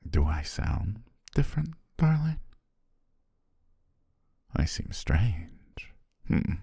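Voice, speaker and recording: A man playing a possessive shapeshifting naga who poses as a devoted servant, speaking gently and formally in an intimate ASMR roleplay, husky, breathy and often whispering. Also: on the low side, very animated, no echo, clean studio sound, heavily acted. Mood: tender, possessive, coaxing. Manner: slow, gentle, formal, coaxing.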